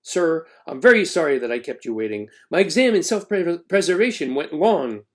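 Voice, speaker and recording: A man's voice: fairly high pitch, very animated, normal speed. Man as an earnest, flustered schoolboy. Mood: apologetic. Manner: polite, protesting. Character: earnest, apologetic, flustered schoolboy narrator hero. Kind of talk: children's storybook read-aloud.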